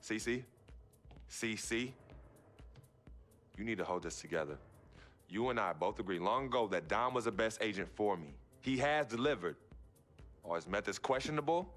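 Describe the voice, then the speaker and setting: A man's voice: lowish voice, animated, moderate pace, long pauses, fairly bright tone, faint music behind. An American man acting a driven young basketball star in a video game cutscene, speaking in a firm, street-casual way. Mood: stern, commanding. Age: young adult.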